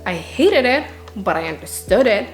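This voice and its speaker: A woman's voice: mid-range voice, very animated, moderate pace. A woman narrating a scripted book review in an earnest way, with dramatic emphasis. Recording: roomy echo, music underneath. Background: background music.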